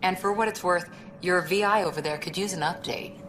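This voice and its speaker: An actress plays a skeptical female space crewmate in a video game. Her lowish voice is dry and sardonic, over faint background noise. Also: brisk, fairly bright tone, lightly acted. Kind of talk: naturalistic video game acting.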